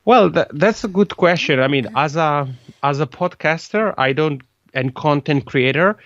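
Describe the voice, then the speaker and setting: A man from the Italian-speaking part of Switzerland with a Swiss Italian accent and Italian as his first language, a guest on a podcast. He talks in a lively way, repeating words and restarting phrases often. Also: a little high, normal speed, dry recording, dull audio. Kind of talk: conversational interview.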